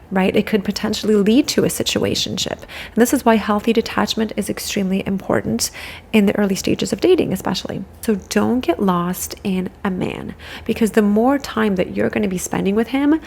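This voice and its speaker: A young woman hosts a solo advice podcast, her voice stuffy from a cold. Her tone is husky and gravelly, with creak under many phrases. She talks earnestly and directly to her listeners, building encouraging points one after another. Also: mid-range voice, a little fast, a little mellow. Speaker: podcast host and dating coach.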